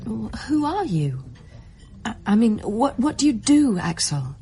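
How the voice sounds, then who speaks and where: An anxious young violinist in a radio drama. Her voice sits fairly high, earnest and hesitant, ready to plead or defend herself. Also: sing-song, measured pace, warm tone.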